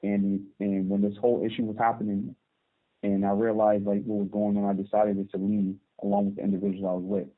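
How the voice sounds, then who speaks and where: A man in his thirties from Barbados, calling in from prison and telling his story calmly, with hesitant restarts. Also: fairly low pitch, fairly quick. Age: 30s. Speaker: guest. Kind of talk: phone interview. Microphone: phone call. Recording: tinny phone line.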